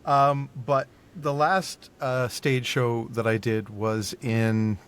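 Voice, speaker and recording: A middle-aged man in casual interview chat as a podcast guest, speaking haltingly and repeating his words. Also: little pitch movement, clean tone. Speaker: podcast guest, voice actor and audio engineer. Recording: faint background noise and low rumble.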